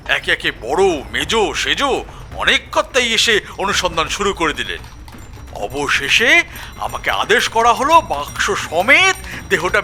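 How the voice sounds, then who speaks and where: An excitable police constable in a detective audio drama. The voice is high and very bright, swinging dramatically as he tells of a grisly find, with faint music behind. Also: full character voice. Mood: alarmed. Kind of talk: dramatic Bengali audio story acting.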